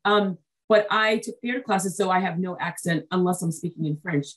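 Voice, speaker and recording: Chatty, effusive woman host, lower voice. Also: moderate pace, rough recording. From United States, Haitian family. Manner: effusive, chatty, lots of fillers. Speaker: podcast host. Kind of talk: casual interview chat.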